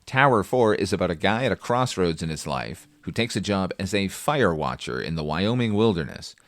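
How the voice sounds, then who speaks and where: A man's voice: sits low, animated, moderate pace, no echo. A man hosting a film review podcast, talking conversationally with wry, profane, opinionated asides.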